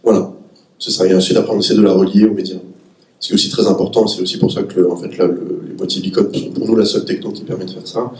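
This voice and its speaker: Guy with a cold on a panel, very low monotone voice, echoey room, sounds far off. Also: quick pace, a little hiss or hum. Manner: explanatory, technical, self-correcting. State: has a cold. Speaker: panelist, startup executive. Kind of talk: round-table discussion.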